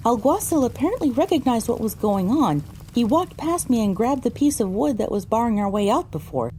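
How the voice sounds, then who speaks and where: A woman's voice: fairly high pitch, very animated, brisk, mellow, a little hiss or hum. A woman narrating a first-person story for an audio drama, reading descriptively with dramatic phrasing.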